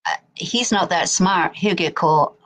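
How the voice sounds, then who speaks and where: A woman's voice: on the low side, measured pace. A woman from Wisconsin, a guest in a casual chat. She is chatty and repeats herself.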